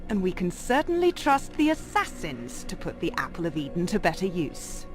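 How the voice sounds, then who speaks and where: A woman's voice: on the low side, huge pitch swings, normal speed, faint music behind. A woman voicing a haughty, defiant Templar captive in a video game, with a taunting manner. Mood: scornful, defiant. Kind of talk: scripted game cutscene acting.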